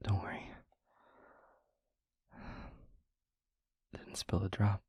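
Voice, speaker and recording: A man playing a gentle, concerned vampire boyfriend in an intimate audio roleplay, speaking softly and haltingly with a breathy, husky voice. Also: no room sound, whispered, dull audio, close to an everyday voice. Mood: relieved, soothing. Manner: soft, hesitant, halting, reassuring.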